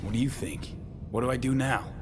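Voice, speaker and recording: An actor playing a cool, practical mercenary in a video game, speaking in a terse, matter-of-fact way. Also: male voice, mid-range voice, fairly quick, noisy background, natural voice. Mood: wary. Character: cool, practical male mercenary in a cyberpunk city. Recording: music behind the voice.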